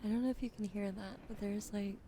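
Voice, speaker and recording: A woman livestreaming ASMR in a soft, breathy whisper and hushed casual chat, with a slightly weak, wheezy throat, speaking gently to her viewers up close to the mic. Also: mid-range voice, fairly even intonation, quick pace, slight background noise. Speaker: streamer hosting a live ASMR broadcast.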